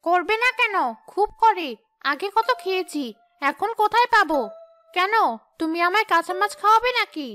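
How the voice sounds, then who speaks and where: A woman's voice: very high voice, sharp tone. A woman voicing a sly, sulky, greedy female ghost wife in a cartoon, whining and scheming. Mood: eager, pouting. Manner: whining, scheming, quick to complain. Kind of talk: cartoon ghost-story dialogue.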